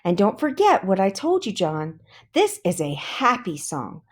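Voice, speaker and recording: A woman reading a children's storybook, voicing an encouraging, exacting woman orchestra teacher who gives brisk, bright instructions. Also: mid-range voice, wildly swooping pitch. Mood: bright, instructive. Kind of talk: children's storybook read-aloud.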